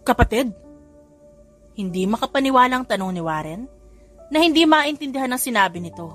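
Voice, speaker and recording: A woman narrates a scripted romance audiobook. Her voice sits fairly high, and soft music plays behind it. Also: lively intonation, fast.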